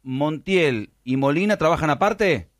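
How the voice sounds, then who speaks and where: Argentine guy, radio host, kinda high voice, chatting casual live on air. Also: lively intonation, unhurried, no echo, clean studio sound.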